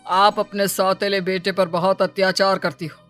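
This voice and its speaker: A woman's voice: mid-range voice, flat delivery, normal speed, fairly bright tone, faint music behind, close to an everyday voice. A woman as a stern male police inspector. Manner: firm, formal. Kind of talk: dramatised cartoon story dialogue.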